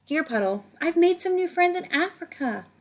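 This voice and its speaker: A woman's voice: very high-pitched, moderate pace. A woman reading a picture book aloud to children like a teacher, stretching words and adding casual explaining asides to her young listeners. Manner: animated storytelling, stretches words, chats directly to young listeners.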